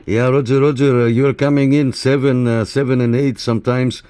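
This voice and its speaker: A man's voice: medium pitch, flat delivery, moderate pace, warm tone. A man making casual radio contacts and chatting to viewers, informal and repeating radio call phrases. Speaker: CB radio operator and YouTube channel host. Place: indoors in a room at a radio set. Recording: clear background noise and radio clicks.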